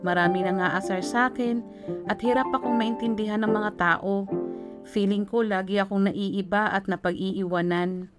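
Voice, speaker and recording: Woman doing kind filipino auntie in an animated short, gentle n encouraging, chatty, middle pitch, music behind her. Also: normal speed, natural voice. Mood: reflective, encouraging. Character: kind, reassuring aunt, born with cleft palate. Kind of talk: animated short film voice acting in Tagalog.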